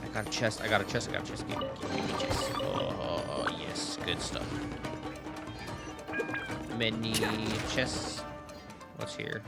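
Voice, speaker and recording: A man streaming a video game with excited, slangy commentary, playful sound effects and repeated hype phrases. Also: lowish voice, measured pace, creaky, pauses often. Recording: game music behind the voice. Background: video game music and effects.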